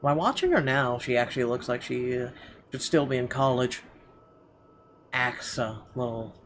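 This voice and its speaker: Casting: male game streamer, mid-pitched, halting read-aloud narration with casual asides, clearly noisy recording. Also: expressive. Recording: clearly audible background noise.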